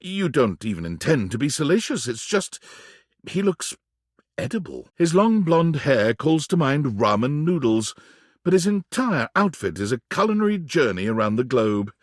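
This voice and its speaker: An actor playing a suave storyteller, reading polished second-person narration for a video game. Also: male voice, fairly high pitch, very animated, normal speed, no room sound, studio quality. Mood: descriptive, savoring. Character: suave male second-person narrator savoring lush descriptions.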